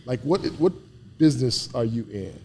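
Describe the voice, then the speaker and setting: Detroit guy hosting, easygoing and jokey, mid-pitch voice, faint hum behind. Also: normal speed. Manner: relaxed, jokey, affirming. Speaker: host, interviewer. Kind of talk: conversational interview.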